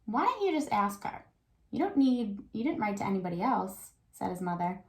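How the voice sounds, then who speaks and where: A woman speaks as an elementary school teacher leading a read-aloud for young children. Her voice sits at an average pitch for a woman. She talks the way a classroom teacher does, thinking aloud and checking in with small questions.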